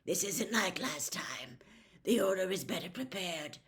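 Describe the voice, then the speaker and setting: A woman doing a calm, firm grown man. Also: a little slow. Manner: firm, soothing, low-key.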